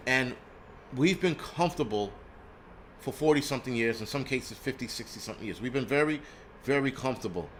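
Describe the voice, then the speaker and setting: A man's voice: medium pitch, expressive, fairly quick, slight background noise. A man, hosting a casual live stream in a blunt, profane, rambling rant. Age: 40s. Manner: rambling with frequent restarts. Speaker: host.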